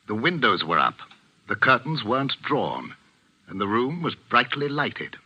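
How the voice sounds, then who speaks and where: An actor playing a middle-aged English village vicar in a radio mystery, speaking with measured, commanding earnestness in an English accent. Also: male voice, medium pitch, pushed and bright, breathy edge, highs cut off, exaggerated voice. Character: grave, authoritative English village vicar, a father. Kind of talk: 1940s radio mystery acting.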